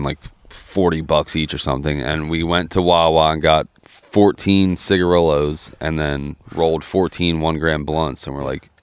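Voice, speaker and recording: This is a man in his thirties from New Jersey, chatting casually on a podcast while he smokes a cigar. His voice sits extremely low, with a smooth, resonant tone. The sound is dry and close, with no room around it. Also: no echo. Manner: laid-back, profane storytelling. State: smoking a cigar while talking. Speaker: podcast co-host. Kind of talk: casual chat.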